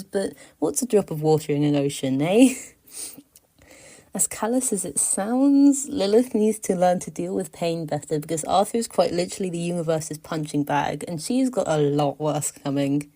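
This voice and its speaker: A woman's voice: low voice, warm tone. A woman giving a casual, persuasive voice message as a listener, with a wry, mock-serious manner. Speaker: listener sending a voice submission.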